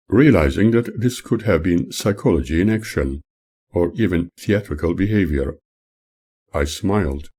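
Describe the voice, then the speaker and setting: An older man, the author, reading his own memoir aloud as audiobook narration in a measured, formal manner. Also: deep voice, warm tone, slightly rough.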